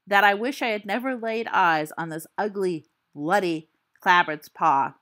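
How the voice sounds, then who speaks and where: A woman's voice: medium pitch, unhurried, a bit bright, close to an everyday voice. A woman voicing a teenage boy wizard who tells a ghost story with theatrical flair and dry asides. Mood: storytelling. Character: teen boy wizard spinning a spooky tale.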